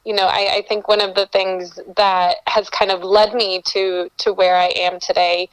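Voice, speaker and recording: A woman's voice: medium pitch, level tone, moderate pace, clean tone, dry recording, rough recording. A woman from Colombia, a guest calling in to an interview, answering in an earnest, reflective way and often restarting her phrases. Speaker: guest, entrepreneur. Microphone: remote call-in.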